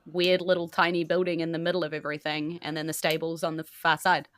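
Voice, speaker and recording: A woman's voice: deep voice, flat delivery, fast talker, a bit bright, clean tone. A woman, narrating a tabletop game as its host in an informal, conversational way.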